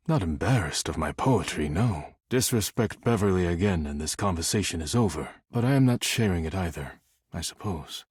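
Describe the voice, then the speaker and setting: A man voicing a serious, proud, formal dish character in a dating sim game, speaking in measured, precise and reserved phrases. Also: deep voice, breathy edge, dry recording, studio quality, full character voice. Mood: guarded, then stern, offended. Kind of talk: scripted character dialogue.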